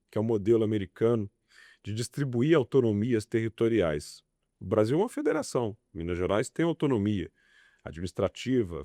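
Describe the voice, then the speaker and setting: Homem enfático, voz grave. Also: male voice, fairly low pitch, dark tone, dry recording, studio quality. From Belo Horizonte, Brazil. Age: 40s. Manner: emphatic, passionate, speech-like delivery. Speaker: political candidate guest. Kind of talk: podcast interview answers.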